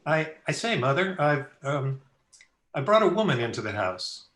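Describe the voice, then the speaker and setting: Actor as an animated, kindly young gentleman. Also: on the high side, normal speed. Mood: earnest, puzzled. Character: kindly, earnest young gentleman, concerned and boyish. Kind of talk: old-time radio drama acting. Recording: roomy home video-call audio.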